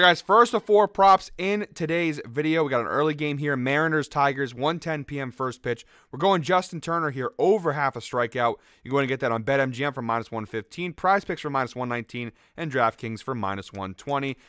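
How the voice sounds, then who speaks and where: A man rattles off betting odds and stats at a brisk clip, his voice a touch raspy from a late night out. The sound is smooth and clear. It is recorded close in a dry room. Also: medium pitch, a bit bright, no echo. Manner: quick, upbeat, rattles off stats with fillers. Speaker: sports betting picks host. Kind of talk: fast casual betting-tips monologue.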